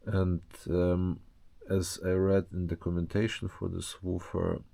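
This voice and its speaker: A man's voice: low-pitched, completely flat delivery, a little slow, creaky, mellow. A man giving a casual, unscripted show-and-tell as a hobbyist presenter, speaking hesitantly and often searching for words.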